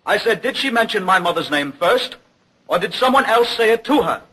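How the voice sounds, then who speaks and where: An actor playing an earnest, indignant young son giving insistent testimony in an old radio drama. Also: male voice, high-pitched, expressive, brisk, sharp tone, slightly rough, heavily acted, rough recording. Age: young adult. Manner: insistent, emphatic. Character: earnest, indignant young son defending his accused mother.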